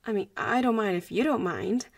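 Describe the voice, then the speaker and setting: A young woman voicing a playful, protective popular vampire girl in an intimate audio roleplay, breathy and often dropping to a whisper. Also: mid-range voice, moderate pace, no room sound, studio quality, close to an everyday voice. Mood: casual, protective. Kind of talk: audio roleplay voice acting, addressing the listener.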